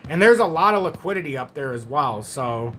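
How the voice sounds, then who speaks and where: A man's voice: fairly high pitch, lively intonation, brisk, fairly bright tone, slight background noise. A man in his forties hosting a casual crypto livestream, walking through charts and reading out viewer comments, often repeating himself. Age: 40s.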